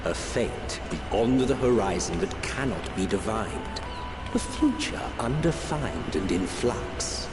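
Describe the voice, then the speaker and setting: A grave male sage from a fantasy game delivers cryptic wisdom in a slow, portentous manner. His lowish voice rings with roomy reverb beneath loud, swelling music. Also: big pitch swings. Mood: solemn, portentous. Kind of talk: theatrical scripted game monologue.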